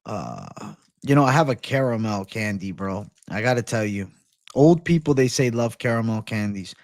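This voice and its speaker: A man's voice: fairly low pitch, big pitch swings, normal speed, no room sound. Slangy, profane male livestreamer, dry close sound. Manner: slangy, profane, bro-heavy banter. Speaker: livestream host. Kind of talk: casual livestream chat, reading and answering viewer comments.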